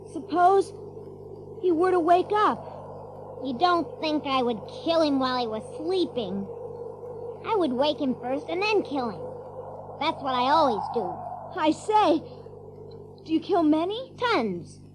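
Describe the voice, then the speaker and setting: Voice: high voice, background noise, heavily acted. An actor in an audio drama playing a young child on a fantasy adventure, eager and theatrical.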